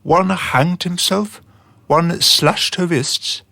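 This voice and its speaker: A man's voice: sits high, drawn-out words, gravelly, sharp tone, dry recording, studio quality, heavily acted. A man playing an eager, deferential young underling in a satirical radio drama, formal and earnest.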